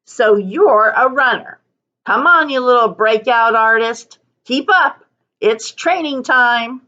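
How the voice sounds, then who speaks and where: Woman acting gruff folksy dog-team driver man, raised pitch. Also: takes it slowly, pushed and bright, highs cut off. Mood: urging, encouraging. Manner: exasperated barking, old-time mild cussing. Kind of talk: children's storybook character read aloud.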